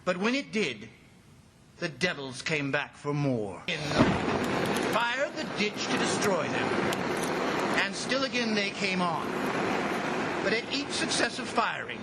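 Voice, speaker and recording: An actor playing an anxious district commissioner who narrates a jungle disaster in grave, urgent old-time radio style. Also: male voice, high-pitched, sing-song, slow pace, pauses often, very noisy, full character voice. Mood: grave, tense.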